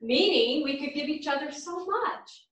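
A woman's voice: high-pitched, animated, measured pace. An actress playing an earnest, hopeful, sincere older woman in a theatrical staged reading. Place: small theatre stage, far camera. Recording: echoey theatre, distant microphone.

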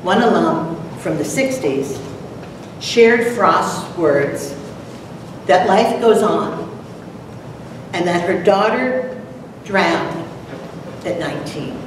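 A mature woman giving a prepared talk and dramatic poetry recital with deliberate, theatrical delivery. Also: lowish voice, lively intonation. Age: middle-aged or older. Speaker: presenter, dramatic reader. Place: lecture hall, at a podium. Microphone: far camera. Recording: echoey noisy hall from far off.

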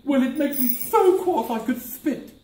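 A woman's voice: high voice, expressive, unhurried. A woman playing an indignant, house-proud lamp spirit in an amateur pantomime, exclaiming crossly. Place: village hall stage, indoors. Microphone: distant audience camera. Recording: echoey village hall, distant, noisy audience.

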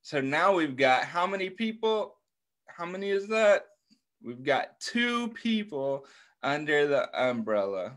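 A middle-aged American man reads a picture book to small children. His voice sits a little high for a man and moves very slowly. He keeps putting questions to his listeners and encourages them with praise. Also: sing-song, a bit bright. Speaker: children's story time host. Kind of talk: interactive picture book read-aloud for young children. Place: indoors on a video call.

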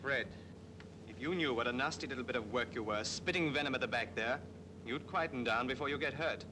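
An actor playing an urbane, coolly bullying English captain in an old British film. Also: male voice, mid-range voice, brisk, close to an everyday voice. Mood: cool menace. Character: suave, menacing ex-officer captain, coolly bullying. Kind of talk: 1940s British film acting. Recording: noisy old film soundtrack.

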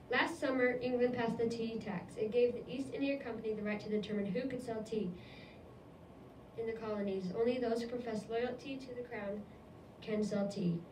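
An earnest, lecturing colonist , voiced by a woman argues with indignant pride. The voice is somewhat high and comes from across a reverberant room with faint noise. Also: natural voice. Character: cautious, proud male colonist merchant. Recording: echoey room from far off.

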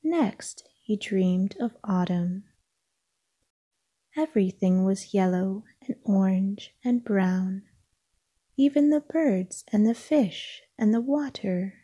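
A woman reading a children's picture book aloud as narrator, in a calming, gentle, hushed bedtime-story manner. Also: mid-range voice, takes it slowly, breathy edge.

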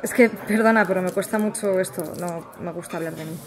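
A woman's voice: lowish voice, a little fast, faint music behind, close to an everyday voice. An actress playing a reticent former researcher and young mother with an accent from Spain, speaking hesitantly and sincerely in a naturalistic audio drama interview. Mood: guarded, uneasy. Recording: busy café chatter behind. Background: café crowd.

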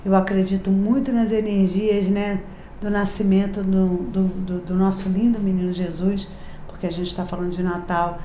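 A woman's voice: medium pitch, level tone, moderate pace, warm tone. A Brazilian woman in her thirties, a tarot reader talking to camera in a chatty, affectionate, confiding way, with emphatic repetitions. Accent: Rio de Janeiro Portuguese. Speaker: tarot reader and channel host. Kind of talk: daily tarot reading to camera. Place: decorated home room, indoors. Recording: echoey room, faint background hiss.

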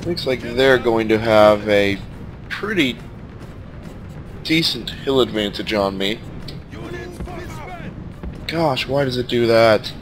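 A man with a stuffed-up, nasal voice giving relaxed, casual commentary while playing a strategy game. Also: medium pitch, slow, long pauses, faint music behind. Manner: laid-back, thinking aloud as he plays. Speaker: let's play commentator.

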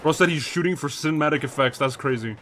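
A man's voice: fairly high pitch, fast talker, bright, forceful tone, slight background noise. Laid-back streamer man, cursing. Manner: laid-back, swears freely, laughs at clips. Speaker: streamer reacting to clips. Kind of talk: casual reaction commentary.

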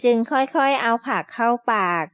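A woman's voice: fairly high pitch, moderate pace, fairly bright tone, slight background noise. A woman narrating a scripted children's story, explaining things to young listeners as friends.

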